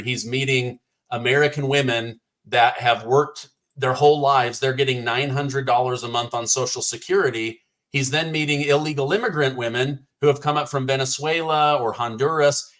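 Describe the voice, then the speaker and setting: A man's voice: mid-range voice, fairly bright tone. Casual male podcast host. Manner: casual, uses "like" and "um" while asking. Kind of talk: conversational interview.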